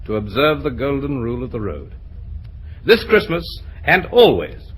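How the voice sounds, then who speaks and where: A man announces in formal old-time radio style. His husky, slightly high voice moves widely in pitch, earnest and measured throughout. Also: a bit bright.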